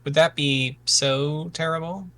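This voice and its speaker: Man playing an anxious, stammering young misfit, bright-voiced. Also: a little high, steady tone, a little slow. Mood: earnest. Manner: hesitant, stammering, earnest. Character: sensitive, anxious, hesitant misfit son of warriors.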